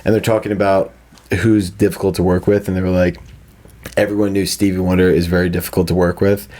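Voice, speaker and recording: A man's voice: sits low, little pitch movement, fast, dark tone. A man hosting a casual comedy podcast, telling profane, jokey stories and acting out the dialogue, on a recording with clicks. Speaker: podcast host.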